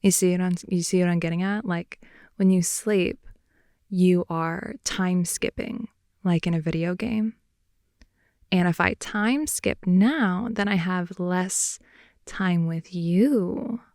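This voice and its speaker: A young woman playing a playful, clingy girlfriend in an improvised ASMR roleplay, rambling softly and intimately close to the mic. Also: fairly low pitch, takes it slowly, no echo. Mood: playful pleading. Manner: soft, intimate, rambling, giggly, often dropping to whisper. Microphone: close headphone-oriented ASMR mic.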